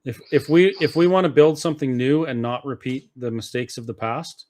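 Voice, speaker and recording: A man's voice: medium pitch, brisk, darkish tone. A man speaking as an interview guest, explaining his views casually and earnestly at some length. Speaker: interview guest, political activist.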